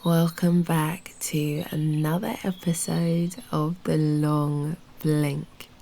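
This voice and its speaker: A British woman guiding a meditation in a soft, breathy, near-whispered voice, soothing and unhurried, leaving long pauses. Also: low voice, flat delivery. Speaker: meditation guide and host. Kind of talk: guided meditation narration.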